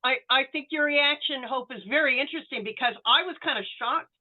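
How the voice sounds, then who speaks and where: A woman's voice: high voice, a little fast. A woman chatting casually as a podcast panelist, on dull lo-fi audio. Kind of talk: casual review chat.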